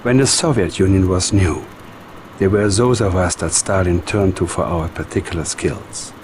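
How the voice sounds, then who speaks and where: A man's voice: sits low, moderate pace, noisy background, close to an everyday voice. A man playing a sinister Soviet psychic schemer with a Russian accent, silky, menacing and condescending, in a game cutscene. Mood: calm menace. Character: sinister, soft-spoken Soviet psychic mastermind, a scheming adviser. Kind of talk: dramatic game cutscene acting.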